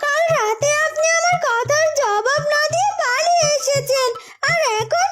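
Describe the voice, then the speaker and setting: A woman's voice: very high-pitched, a little slow. A woman voicing a brash, bossy, quarrelsome young daughter-in-law in a fairy-tale cartoon, scolding and accusing. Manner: confrontational, scolding. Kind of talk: dramatized Bengali fairy-tale cartoon acting. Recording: loud music behind the voice.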